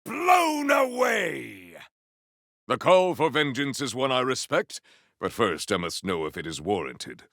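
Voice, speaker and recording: Battle-hungry video game warrior: forceful, gravelly, theatrical and declamatory, in a very dry recording. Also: male voice, medium pitch, no room sound, exaggerated voice. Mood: grave, formal. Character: proud, battle-hungry warrior with grandiose, formal speech. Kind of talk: video game combat barks.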